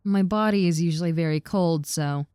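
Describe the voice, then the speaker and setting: Casting: actress for young female lamia roommate in audio roleplay. Slightly low, warm dark tone, casual, teasing, reassuring, harmless gentle giant. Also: normal speed, clear, resonant tone, dry recording, studio quality, lightly acted. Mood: wry. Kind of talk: roleplay audio with personal attention, conversational acting.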